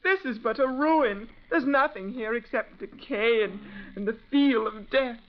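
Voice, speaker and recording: A woman's voice: on the high side, a little slow. An actress playing an innocent, frightened young woman in an old-time radio drama, wary and earnestly pleading. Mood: alarmed pleading. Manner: earnest, fearful, breathless pleading. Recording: muffled, noisy vintage radio recording.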